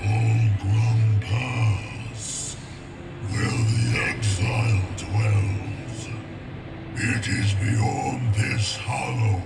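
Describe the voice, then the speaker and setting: Slow, stern alien gatekeeper. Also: male voice, on the low side, fairly even intonation, heavy background noise. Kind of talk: video game acting. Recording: distant, echoey, very loud music.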